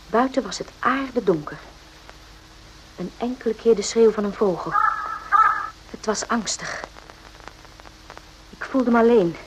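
A woman playing a guarded, correct doctor in a radio play, speaking in a measured, formal way. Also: a little high, close to an everyday voice. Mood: uneasy. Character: uneasy, firm female army doctor, correct and guarded. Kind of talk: radio drama acting with narration. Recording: noisy old radio play recording.

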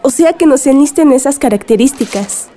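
A woman's voice: high voice, lively intonation, normal speed, mellow, a little hiss or hum. A woman playing a thoughtful, earnest workshop organizer in a radio drama. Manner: earnest, suggesting.

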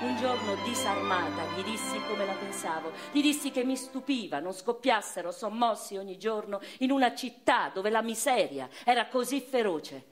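An actress playing a passionate, literary eighteenth-century noblewoman, telling her life in an expressive, reflective radio-theatre monologue. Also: mid-range voice, moderate pace, hardly any pauses, lightly acted. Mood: fond, reflective. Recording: music behind the voice.

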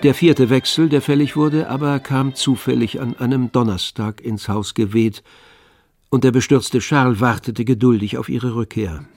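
An actor playing the detached, wry narrator of a literary radio play, with a gravelly voice. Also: male voice, medium pitch, steady tone, normal speed, a little mellow, no echo, soft background music, close to an everyday voice.

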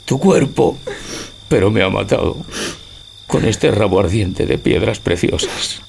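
A man's voice: fairly low pitch, animated, unhurried, creaky, a little hiss or hum, exaggerated voice. A man playing a lovelorn, brooding old husband in a theatrical radio tragicomedy, with grave, poetic declamation. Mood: dying, anguished. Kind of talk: theatrical Spanish radio drama acting.

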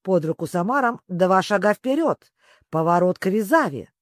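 A storyteller voicing a wistful, excitable sea turtle creature who talks in a plaintive, sobbing manner. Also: moderate pace, dry recording, clean studio sound, close to an everyday voice. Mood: excited. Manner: sobbing, plaintive, breaking into squeaks and yells.

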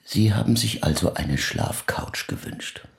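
A low, very gravelly man's voice as a psychotherapist. He questions tersely and without ruffle, with lively inflection, in a natural radio drama style. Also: measured pace, exaggerated voice. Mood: probing. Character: probing, unruffled male psychotherapist.

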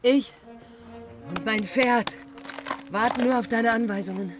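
An actress playing a cheeky, eager young valkyrie in a fantasy radio drama, spirited and teasing. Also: female voice, on the high side, unhurried, close to an everyday voice. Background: loud music, horse sounds.

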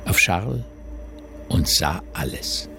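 A man's voice: low-pitched, lively intonation, slow pace, creaky, pushed and bright, faint music behind. A man narrating a literary radio drama.

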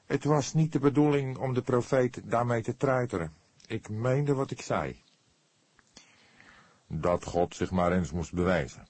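A man's voice: medium pitch, a little mellow, breathy edge, dry recording, pitched above the performer's own voice. Een man die in een luisterboek een angstige jonge verdachte speelt, verdedigend, oprecht, emotioneel en soms haperend. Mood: defensive, earnest. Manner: halting, pleading, earnest. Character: anxious young male suspect, defensive and emotional. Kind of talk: audiobook character dialogue.

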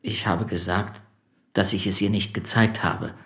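A man's voice: low-pitched, fairly even intonation, unhurried, fairly bright tone. An actor playing a meek, cornered man of forty in a German radio play, subdued, deferential and hesitant. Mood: quiet, cornered. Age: 40s. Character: meek, cornered forty-year-old male stamp collector.

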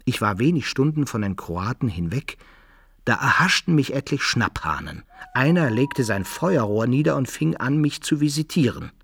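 Radio drama narrator: wry rogue recounting misfortunes; gravelly, dry close sound, expressive. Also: male voice, medium pitch, moderate pace, no echo, heavily acted. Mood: wry storytelling. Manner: expressive, storyteller's phrasing. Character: wry male adventurer recounting his own misfortunes.

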